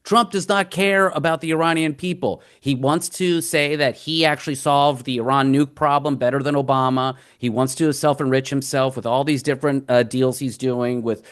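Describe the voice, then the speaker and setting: A man with a mid-pitched voice, typical for his sex, speaks as a guest in a conversational radio interview. He sounds earnest and impassioned, with quick bursts of speech and frequent restarts. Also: fairly even intonation, smooth. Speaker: guest commentator.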